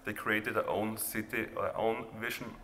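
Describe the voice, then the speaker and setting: A man with an Austrian accent, a native German speaker, giving an informal, halting presentation of his own art project. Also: fairly low pitch, fairly even intonation, sharp tone. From rural Austria near the Hungarian border. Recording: reverberant hall, background noise.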